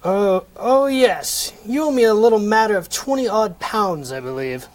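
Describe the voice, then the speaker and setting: A man's voice: high-pitched, lively intonation, normal speed. Man reading as a curt stingy miser. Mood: dismissive. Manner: curt, dismissive, dryly haughty. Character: stingy cold miserly old businessman. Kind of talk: comic table read of a play.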